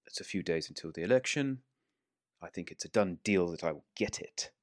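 A man's voice: low voice, animated, fairly quick, no echo, studio quality. A man voicing a haughty, impatient male mayor who speaks in a clipped, formal and dismissive way.

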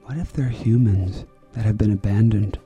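A man's voice: fairly low pitch, moderate pace, dark tone, muffled recording, faint music behind. A man co-hosting a podcast, asking playful, goofy questions. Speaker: podcast co-host. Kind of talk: casual chat.

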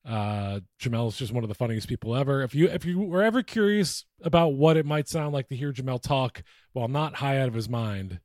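A man's voice: mid-range voice, lively intonation, brisk, few pauses, darkish tone, no room sound, studio quality. A man co-hosting a podcast in casual chat, joking and swearing freely. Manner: profane, joking. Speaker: podcast co-host.

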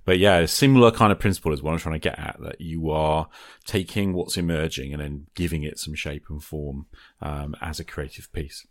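A man's voice: rumbling low, a little fast, dry recording, studio quality. A man hosting a conversational podcast interview, agreeing readily and phrasing his thoughts loosely.

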